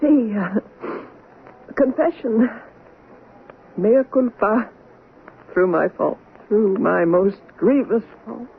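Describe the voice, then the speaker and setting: A woman playing a guilt-ridden, hard-drinking stage actress in a radio drama, theatrical, halting and anguished. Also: mid-range voice, creaky. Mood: solemn, resigned. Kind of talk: 1970s radio drama acting. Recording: tinny, noisy 1970s radio broadcast.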